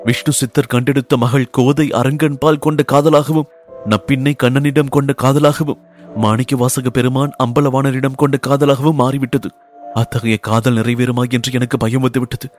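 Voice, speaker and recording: A man's voice: medium pitch, fairly even intonation, normal speed, darkish tone, soft background music, lightly acted. A man voicing a devoted, humble woman temple dancer, speaking in earnest, poetic and pleading lines for an audiobook. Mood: devoted, reverent.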